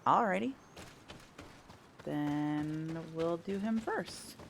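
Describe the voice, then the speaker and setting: A woman's voice: low-pitched, expressive, drawn-out words, creaky, a little hiss or hum. A woman giving casual, blunt commentary while playing a video game. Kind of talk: casual let's play commentary.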